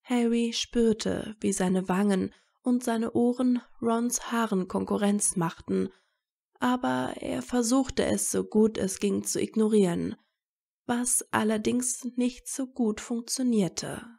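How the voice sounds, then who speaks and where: A woman's voice: on the high side, takes it slowly, vocal fry, warm tone. A woman reading a story aloud as its narrator in a scripted audiobook style.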